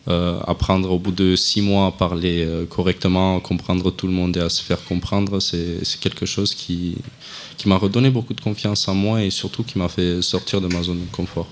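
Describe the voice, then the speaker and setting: A young man, a student guest on a live student radio show, talking in a relaxed, chatty way with many fillers. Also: low-pitched, moderate pace, slight background noise. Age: young adult.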